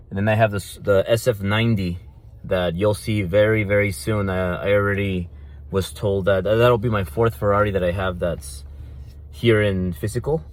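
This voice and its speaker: A man in his thirties with a Mexican Spanish accent, a native Spanish speaker, talking in a brash, swaggering vlog, swearing and repeating himself. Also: fairly low pitch. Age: 30s. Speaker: vlogger and entrepreneur. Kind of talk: casual boastful vlog.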